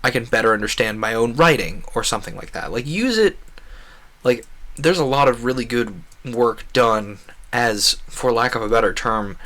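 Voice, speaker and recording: Bright, forceful man chatting on a livestream. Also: mid-range voice, normal speed. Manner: opinionated, earnest, often voices imagined quotes. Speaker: livestream host. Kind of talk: casual rambling gaming livestream chat.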